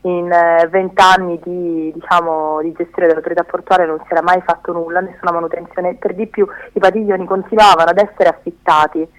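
A woman's voice: on the low side, level tone, brisk, hardly any pauses, rough recording. Emphatic woman activist interviewed by phone. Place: remote call to a radio studio.

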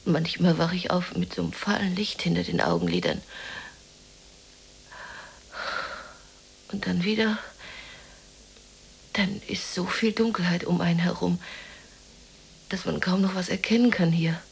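An actress playing a lonely, depressed, dreamy woman in a radio play monologue, musing haltingly to herself in a breathy half-whisper. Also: on the low side, lively intonation, exaggerated voice, low-quality recording. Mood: dazed, brooding.

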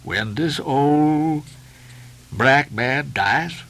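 A man's voice: a little high, expressive, unhurried, bright, forceful tone, voice pushed up. A man voicing a sullen ninety-year-old ship's cook in a novel, muttering and growling in grudging dialect. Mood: sullen, solemn.

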